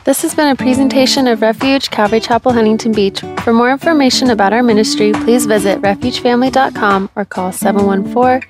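A woman announcer reads a brisk, clear scripted closing notice in a fairly high voice. Her voice sounds set back from the mic, and guitar music plays clearly behind her. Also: fairly even intonation.

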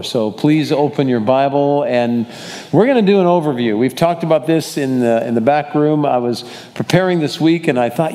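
Man pastor teaching in a reverberant church. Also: on the high side. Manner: warm, rambling, self-correcting delivery. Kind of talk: casual Bible study teaching. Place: church sanctuary with congregation. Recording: long hall reverb.

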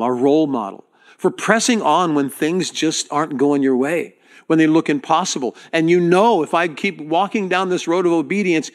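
A man preaching to his congregation as their pastor, speaking earnestly and directly with halting restarts. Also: on the high side. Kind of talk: sermon.

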